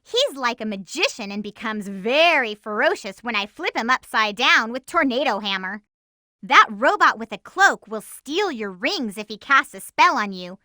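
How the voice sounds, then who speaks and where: A woman voicing an energetic, determined young girl heroine in a video game, with a perky, emphatic cartoon delivery. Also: fairly high pitch, normal speed, no room sound, studio quality. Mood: instructive, perky. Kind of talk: video game voice acting, tips and battle shouts.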